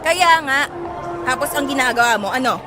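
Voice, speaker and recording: A woman's voice: sits high, animated, moderate pace, a crowd in the background, noisy background. A woman playing an exasperated, struggling mother and nagging wife in a Filipino audio drama, scolding and sarcastic.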